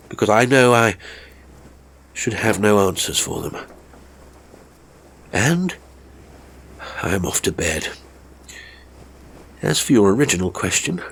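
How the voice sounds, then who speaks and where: A man playing a haunted, seasoned mountaineer telling a horror story in a measured, formal, gravely intense way. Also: fairly low pitch, fairly bright tone, heavily acted. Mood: weary, final. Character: seasoned male mountaineer, haunted, grimly recounting a fatal climb. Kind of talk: dramatic first-person horror storytelling.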